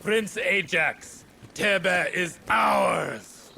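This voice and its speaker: An actor playing a proud, greedy Greek high king in a video game, speaking in a pompous, imperious, theatrical way. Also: extremely slow, gravelly, pushed and bright, a little hiss or hum, full character voice. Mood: boastful triumph. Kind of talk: dramatic video game cutscene acting.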